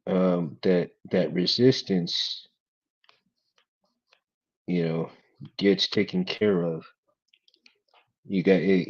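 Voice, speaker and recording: Low, monotone man muttering while working. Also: lo-fi sound. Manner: laid-back, talks quietly while working. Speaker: hobby craft vlogger. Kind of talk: casual working chat while building.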